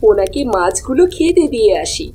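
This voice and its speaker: A woman's voice: medium pitch, sing-song, fairly quick, a little mellow, a little hiss or hum, close to an everyday voice. A woman voicing a naive, dutiful village wife in a cartoon, with an earnest, coaxing manner. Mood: eager, obliging. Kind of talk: Bengali cartoon dialogue.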